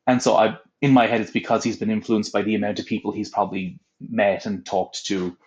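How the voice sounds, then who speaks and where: A man's voice: lowish voice, level tone, brisk, darkish tone, highs cut off. A young adult man, an audiobook voice actor, chatting casually in an interview with lots of filler words. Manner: rambling, many filler "like"s.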